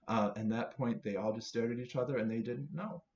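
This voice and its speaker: A man's voice: mid-range voice, steady tone, a little fast, a little mellow. A man tells a children's story in a roomy, slightly muffled recording. He narrates playfully, repeating phrases for emphasis, with faint noise behind him. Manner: repetitive, playful emphasis with sound words.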